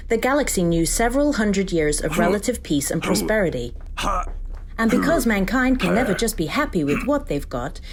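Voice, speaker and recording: A woman plays a female AI guide living in an agent's head. She briskly gives instructions with wry condescension and very varied intonation. There is clear noise behind her. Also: mid-range voice, close to an everyday voice. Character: female AI neural implant, brisk, wry, bossy assistant. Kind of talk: scripted audio drama parodying video game tutorials.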